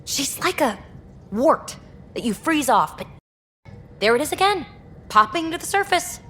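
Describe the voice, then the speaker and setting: A woman acting a brash, candid young rogue explorer in a video game, talking in a snarky, animated and colloquial way. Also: sits high, a little slow, slight background noise, exaggerated voice. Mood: irritated.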